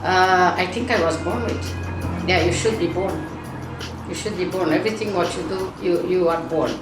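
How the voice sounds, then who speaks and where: Romanian lady, really low voice, broken english w restarts, roomy echo, mic kinda far, music under it. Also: normal speed. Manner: non-native grammar, frequent restarts. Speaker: nursing school owner. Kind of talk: casual interview answers.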